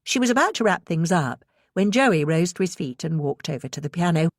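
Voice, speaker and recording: A woman's voice: lowish voice, expressive, fairly quick, no room sound, clean studio sound. A woman reading scripted suspense fiction as an audiobook narrator.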